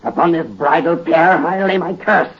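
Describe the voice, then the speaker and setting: A bitter old servant seethes with hatred and lays curses, as a man plays him. His high voice is rough and gravelly. It comes through a muffled 1930s radio recording with a faint hiss. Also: normal speed, lightly acted. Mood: menacing. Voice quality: gravelly, sinister. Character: old male servant, hate-filled, cursing. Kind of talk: 1930s radio drama acting.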